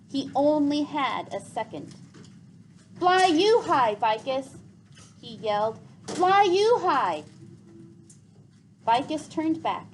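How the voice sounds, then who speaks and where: A woman's voice: on the high side, low-quality recording. A woman teacher reading aloud to a class and leading discussion, restating points as she goes. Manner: restates and sums up what others say. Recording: clear background noise.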